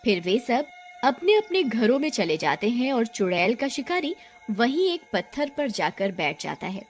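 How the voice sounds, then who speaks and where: A woman's voice: a little high, very animated, moderate pace, faint music behind. A woman narrating a scripted moral story as a storyteller.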